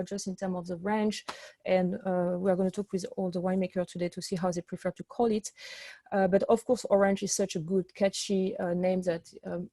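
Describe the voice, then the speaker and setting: A French-accented woman leads an online wine tasting over a video call, chatty and enthusiastic. Her voice is mellow and dark in tone, and it sounds dry and close, with no room around it. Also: mid-range voice, dry recording. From France. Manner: chatty and enthusiastic, with frequent fillers and restarts. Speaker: sommelier and webinar host. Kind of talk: online wine seminar presentation.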